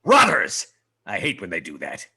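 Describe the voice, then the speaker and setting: Man acting a pompous alien ship officer, formal, declamatory, swooping pitch, comic sci-fi table read. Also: huge pitch swings, normal speed, creaky, sharp tone, slightly rough, exaggerated voice. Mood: indignant. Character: pompous, duty-bound male alien spaceship officer.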